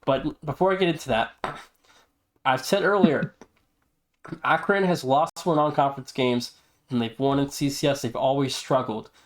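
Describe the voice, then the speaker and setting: A man's voice: mid-range voice, moderate pace. A man hosting a podcast, giving league news and casual commentary in an emphatic way with repeated stock phrases. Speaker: podcast host and league presenter.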